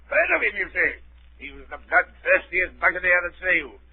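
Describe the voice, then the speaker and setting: An actor playing a blustering, excitable country squire with emphatic exclamations in 1930s radio drama style. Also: male voice, sits high, brisk, slightly rough, exaggerated voice. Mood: indignant. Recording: tinny old 1930s radio broadcast.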